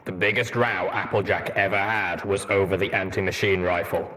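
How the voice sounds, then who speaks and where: Brooding armored veteran stallion for an audio story, low, reflective, plain-spoken man acting it. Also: level tone, normal speed, sharp tone. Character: brooding armored stallion veteran pony soldier, nostalgic, grim.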